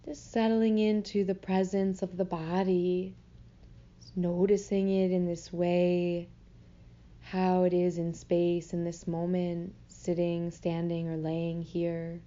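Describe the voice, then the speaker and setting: A woman leading a guided meditation in a soothing, gentle manner, unhurried with long pauses, on slightly muffled audio. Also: on the low side, little pitch movement.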